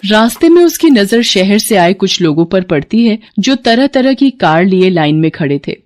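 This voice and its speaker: A woman's voice: mid-range voice, very animated, normal speed, darkish tone. A woman giving scripted narration of a moral story.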